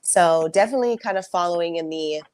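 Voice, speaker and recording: A young woman singer telling her story in a casual interview, soft-spoken and reflective, with frequent fillers. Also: on the low side, quick pace, slight background noise. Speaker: singer and songwriter guest.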